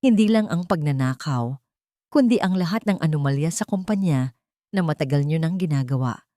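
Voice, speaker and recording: A woman's voice: low-pitched, expressive, normal speed, mellow, dry recording. A woman performs an agitated, defiant man in an audio drama. He sounds urgent and nervous as he confronts those who betrayed him, recorded close and dry. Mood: nervous defiance.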